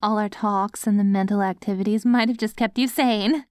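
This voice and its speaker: A woman's voice: medium pitch, fairly even intonation, moderate pace, darkish tone, smooth, no echo, clean studio sound, natural voice. A woman playing a devoted, hopeful wife tenderly coaxing her zombie husband in an ASMR audio roleplay. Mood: tender, encouraging. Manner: tender, coaxing, speaks directly to a loved one.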